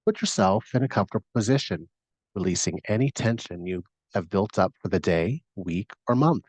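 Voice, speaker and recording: Low-voiced man guiding a meditation. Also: animated, darkish tone, slightly rough, dry recording, poor audio. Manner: soothing, measured, instructing. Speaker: meditation guide. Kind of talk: scripted guided meditation narration.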